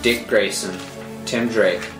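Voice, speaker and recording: A man's voice: on the low side, fairly even intonation, slow pace. A man giving dramatic scripted narration, reading out a screenplay's stage directions. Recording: roomy sound with music underneath.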